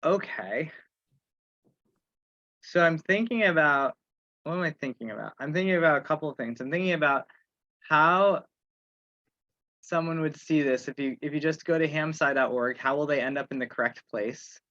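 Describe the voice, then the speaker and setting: A man's voice: fairly high pitch, moderate pace, long pauses, bright, forceful tone, no echo. A man in an informal online meeting, thinking aloud and putting questions to himself as he works through his points. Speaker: meeting participant and organizer. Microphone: online conference call. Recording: slightly dull conference-call audio.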